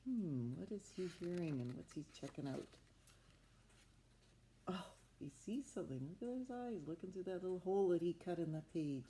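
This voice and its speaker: A woman's voice: lowish voice, lively intonation, normal speed, mellow, slight background noise. A woman improvising a story from a picture book, talking expressively and with wonder, as if to young children. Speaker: storybook narrator.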